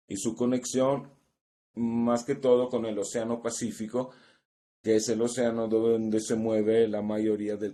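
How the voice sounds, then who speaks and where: Low, mellow man presenting. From Italy. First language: Italian. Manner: measured, working from notes, pausing to find words. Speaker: seminar presenter. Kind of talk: academic conference presentation. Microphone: video call.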